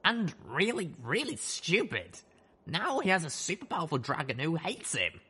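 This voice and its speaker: A man's voice: fairly high pitch, huge pitch swings, moderate pace, sharp tone, a little hiss or hum, voice pushed up, exaggerated voice. A man voicing a blunt, indignant female griffin in an audiobook. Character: indignant young female griffin companion, blunt, trigger-happy. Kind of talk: audiobook character voice.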